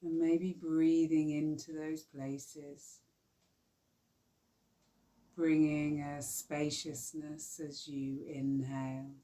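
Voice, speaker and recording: A woman guiding a yoga nidra relaxation, speaking softly and soothingly with long pauses. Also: sits low, little pitch movement. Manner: slow, soft, soothing guidance with long pauses. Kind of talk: guided relaxation meditation.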